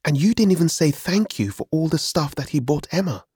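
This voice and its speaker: A man voicing an exasperated, outspoken young brother with a forceful, scolding manner in an audiobook story. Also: big pitch swings, normal speed, husky, no room sound, clean studio sound, heavily acted. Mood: angry, scolding. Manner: scolding, forceful, high-pitched.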